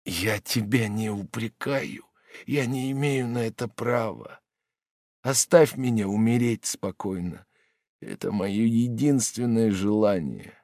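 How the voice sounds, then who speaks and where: Weak bitter dying man, as the reader puts him on: hushed, slow, storybook. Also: on the low side, no echo, studio quality. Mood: weary. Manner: faint, weary, bitter.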